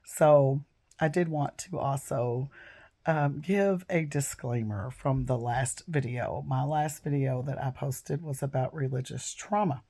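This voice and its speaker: A woman in her fifties, hoarse and froggy from a cold, chatting casually to camera at home and rambling off into digressions. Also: extremely low pitch, a little slow, dark tone. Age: 50s. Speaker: vlogger.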